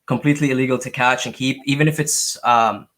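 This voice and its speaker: A man hosts a relaxed interview podcast. His voice sits at an average pitch, and he talks in an informal, enthusiastic way. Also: quick pace. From Canada. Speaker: podcast host. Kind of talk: casual interview.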